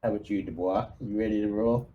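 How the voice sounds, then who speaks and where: A man's voice: lowish voice, fairly even intonation, fast talker, highs cut off, a little hiss or hum. A man playing a tabletop game as squad leader, chatting casually and partly in character.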